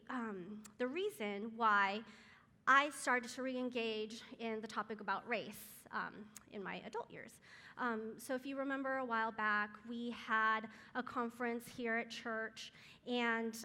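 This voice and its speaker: A woman whose first language is Chinese speaks to a church group in a bright, fairly high voice. She talks in an earnest, hesitant way, filling pauses with ums. Her voice rings out with a long reverb in the room. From Taiwan or China, raised in Seattle area. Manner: hesitant, many ums, soft-spoken and earnest. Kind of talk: casual talk to a group.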